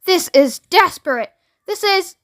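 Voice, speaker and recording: A woman's voice: very high voice, steady tone, normal speed, bright, forceful tone, dry recording, studio quality, pitched above the performer's own voice. A woman voicing an irascible, panicky male ground controller in a children's audiobook, barking and bossy. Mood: panicked.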